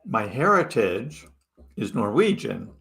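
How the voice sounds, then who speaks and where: A man's voice: fairly low pitch, very animated, slow pace, creaky, fairly bright tone. A man from Chicago hosting a crypto livestream, chatting casually and greeting viewers by name. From Chicago, United States. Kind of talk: casual live chat reading viewer comments.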